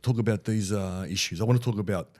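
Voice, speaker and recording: A man's voice: lowish voice, fast talker. Aussie guy w a head cold, bit nasally, rambles n gets fired up on a footy pod. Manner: rambling, excitable, swears. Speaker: podcast co-host. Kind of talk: casual sports chat.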